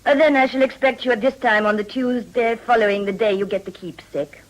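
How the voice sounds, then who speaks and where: An actress playing a shrewd, worldly madam in a period radio drama, wheedling in a deliberate, genteel and businesslike way. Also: female voice, fairly high pitch, close to an everyday voice, low-quality recording. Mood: silky, insinuating.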